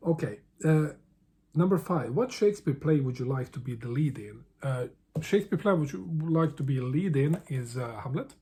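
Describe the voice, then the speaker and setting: Mellow, halting man. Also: medium pitch, expressive, slight background noise. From Bosnia. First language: Bosnian. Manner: halting, with frequent restarts and repeated words. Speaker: book vlogger. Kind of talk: casual answers to a book tag.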